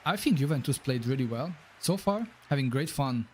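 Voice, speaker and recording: A man's voice: medium pitch, animated, normal speed, a little hiss or hum. A man with a Polish accent streaming a football game, reacting to the match and chatting with viewers. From Poland. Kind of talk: live gameplay commentary and chat.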